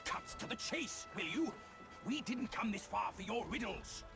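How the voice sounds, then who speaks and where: A man's voice: high-pitched, lively intonation, normal speed, vocal fry. An actor playing a brash, hot-tempered but loyal male pig companion in a video game, blunt and emotional. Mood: scornful, impatient. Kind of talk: dramatic video game dialogue. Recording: music behind the voice. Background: game score music.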